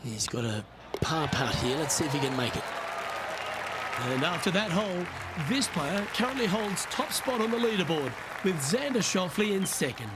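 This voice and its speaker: A man's voice: high voice, very animated, normal speed, over a noisy crowd, heavy background noise. A man playing a genial, composed lead golf announcer in a video game, commentating conversationally with understatement and tag questions.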